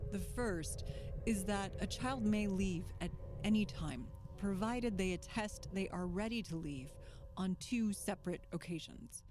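A woman reading scripted horror film narration in a measured, clinical, detached manner. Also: medium pitch, music in the background.

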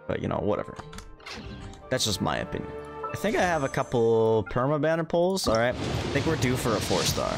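A man's voice: mid-range voice, very animated, fairly quick, gravelly. A tired man giving casual livestream commentary on a game, laid-back, with sarcastic asides and mild swearing. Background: game music.

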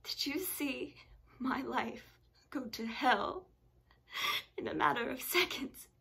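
Bereaved mother, woman performer: traumatised and bitter, slow halting delivery, intense hush, modern stage monologue. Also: high-pitched, gravelly, slightly rough, heavily acted. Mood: anguished. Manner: hushed, halting, intense, often breaking into whispers.

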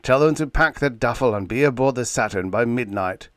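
Male reader doing an irascible old space skipper, loud and gruff. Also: lowish voice, normal speed, dry recording, studio quality, natural voice. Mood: stern. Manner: roaring, gruff and blustering.

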